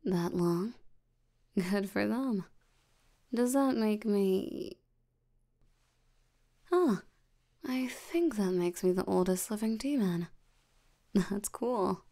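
A woman playing a lazy, sleepy, ancient demon in an ASMR roleplay, drowsy and unbothered, with a soft tired voice. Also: medium pitch. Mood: drowsy, nonchalant. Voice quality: soft, breathy, creaky tired voice. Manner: drowsy, slow, drawling, unbothered. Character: sleepy, lazy female sloth demon, ancient but youthful.

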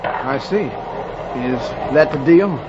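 High-voiced, wary young ballplayer. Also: male voice, animated, slow. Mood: guarded. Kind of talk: 1940s radio acting. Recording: muffled noisy radio, loud crowd.

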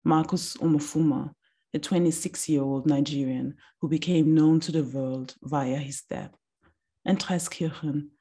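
A woman in her thirties giving a slow, deliberate reading of a reflective text over a video call from a small room. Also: low-pitched, steady tone, dark tone, slightly rough. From Austria.

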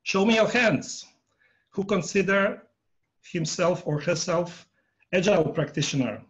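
A man in his fifties, a native Croatian speaker, giving a workshop talk on a video call from home, pausing often with fillers. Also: sits high, lively intonation, fairly bright tone. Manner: halting, many filler pauses, polling the audience. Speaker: workshop presenter. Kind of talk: online conference talk. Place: home room. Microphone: earphone headset on a video call.

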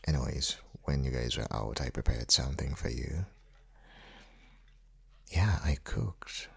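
An affectionate young father and husband acted by a man for an intimate ASMR roleplay. His voice sits extremely low, with a heavy husky breathiness. He speaks slowly and softly, teasing gently and often slipping into a whisper. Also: lively intonation, full character voice. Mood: tender. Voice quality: very deep, husky, gravelly, breathy. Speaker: audio roleplay performer.

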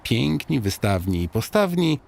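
A man's voice: mid-range voice, big pitch swings, a little slow, creaky, darkish tone. A man narrating a comic audiobook as a guide addressing the listener, in a tongue-in-cheek, mock-solemn storytelling manner.

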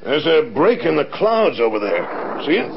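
Commanding colonel with gravelly voice. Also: male voice, a little high, big pitch swings, normal speed. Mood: grave concern. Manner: firm, authoritative. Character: commanding, determined male air force colonel leading a rescue. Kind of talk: mid-century radio drama acting. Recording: dull, noisy vintage radio recording.

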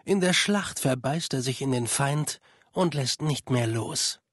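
Video game spymaster: cold, measured, superior, mid-pitched with a husky edge, dry close sound. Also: male voice, a bit bright, no echo. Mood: cool, assured. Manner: formal, measured, condescending. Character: cold, calculating male spymaster of a slaver legion. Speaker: game character voice actor. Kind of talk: dubbed video game dialogue.

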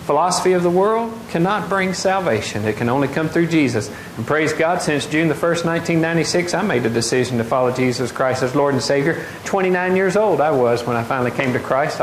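Middle-aged preacher, higher voice, roomy hall with faint hiss, keeps asking the crowd stuff. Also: male voice, brisk. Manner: animated, gestures widely, asks the congregation questions. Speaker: pastor. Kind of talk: sermon. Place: large wooden open-air church hall. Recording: echoey hall, faint noise.

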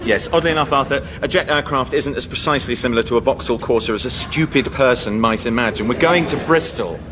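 An actor playing an officious, prickly airline captain in a radio sitcom, clipped and sarcastic, with an English accent. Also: male voice, mid-range voice, fast, noisy background, close to an everyday voice. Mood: exasperated sarcasm. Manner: clipped, sarcastic, self-important. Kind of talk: British radio sitcom acting.

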